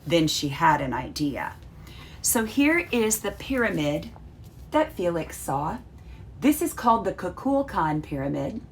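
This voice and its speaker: A woman teacher reading a storybook aloud and explaining it to children in a deliberate, instructive way with drawn-out emphasis. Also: fairly low pitch, sing-song. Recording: faint background noise.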